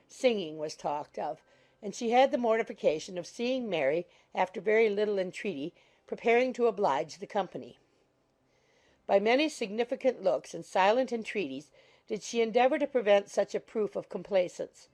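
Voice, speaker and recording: A woman narrating an audiobook in a measured, formal storytelling manner. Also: medium pitch, darkish tone. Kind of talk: scripted literary narration.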